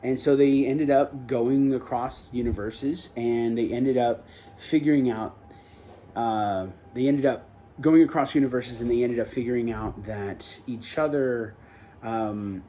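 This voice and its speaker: A man talks through an episode recap on his own review show, in a relaxed, unscripted way. His voice is mellow and warm, sitting at an ordinary pitch for a man. He wanders a little and backs up to fix his wording as he goes. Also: a little hiss or hum. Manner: rambling, loose, self-correcting delivery. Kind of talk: casual spoken recap and review.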